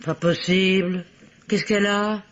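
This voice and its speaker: A woman's voice: medium pitch, fairly even intonation, measured pace, close to an everyday voice. A woman playing a nosy, cheeky working-class housemaid in a radio mystery, chatty and colloquial. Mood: concerned, curious. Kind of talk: vintage French radio mystery acting. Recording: dull, noisy old radio recording.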